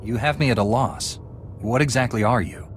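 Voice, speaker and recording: An actor playing a serious young Jedi apprentice in a video game, speaking plainly and directly. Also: male voice, mid-range voice, moderate pace, a little hiss or hum, lightly acted. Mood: serious, questioning.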